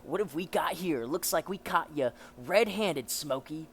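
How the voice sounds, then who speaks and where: A man's voice: fairly high pitch, lively intonation, measured pace, a bit bright, slight background noise, pitched above the performer's own voice. A man voicing a bullying, corrupt 1930s New York policeman from a comic, sneering and threatening in slang. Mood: gloating.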